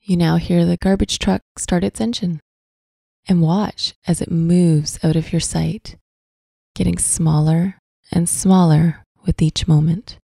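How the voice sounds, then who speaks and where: Slow, breathy woman guiding a visualization. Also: lowish voice, fairly even intonation, dark tone, no room sound, studio quality. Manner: soothing, slow, deliberate phrasing with long pauses. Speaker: guided meditation host. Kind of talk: guided visualization, scripted narration.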